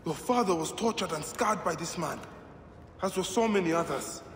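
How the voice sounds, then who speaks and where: Solemn warrior in an echoing hall. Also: male voice, high voice, animated, slight background noise. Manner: solemn, measured. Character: grave, determined male warrior avenger of ancient Egypt. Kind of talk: dramatic video game acting. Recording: echoing hall with music behind.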